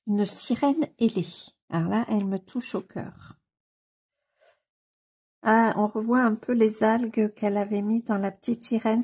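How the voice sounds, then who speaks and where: Husky, gravelly woman with a cold. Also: mid-range voice, mellow. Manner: gushing, repeats exclamations of delight, many hesitation fillers. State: has a cold. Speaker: coloring book YouTuber. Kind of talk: casual commentary while leafing through a book.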